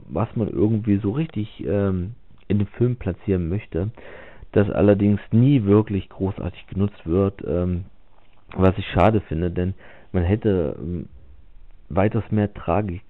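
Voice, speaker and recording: A very deep-voiced man with a German accent talks through a film review, pausing often with fillers. The recording is dry and tinny, like a phone line. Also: dry recording. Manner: casual, many hesitations and fillers. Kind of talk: spoken film review voice-over. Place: voice-over over film clips.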